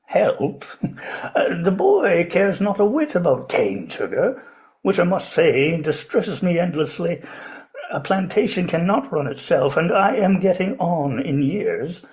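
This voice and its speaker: A man acts an elderly colonial plantation owner in a radio play. His voice sits fairly high and swings widely in pitch. He is genteel and pompous, hesitating and rambling courteously. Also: sing-song, measured pace, darkish tone. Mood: exasperated. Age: older.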